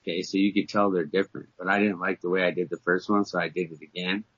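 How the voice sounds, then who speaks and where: A man's voice: low voice, quick pace. A man in his fifties co-hosting a podcast, joking and bragging in casual banter. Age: 50s. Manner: boastful, joking, animated storytelling. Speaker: podcast co-host. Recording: dull lo-fi podcast audio.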